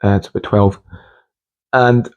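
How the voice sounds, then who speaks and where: Motivational host, young male, fairly low pitch. Informal, personal storytelling with halting delivery and emphatic repetition. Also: fairly even intonation, highs cut off. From Scotland and Hungary. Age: young adult. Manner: halting, repeats phrases for emphasis.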